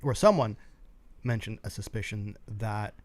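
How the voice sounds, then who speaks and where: A man's voice: fairly low pitch, animated, a little slow. A man co-hosting a tabletop gaming show, giving casual, opinionated commentary in a blunt, skeptical way.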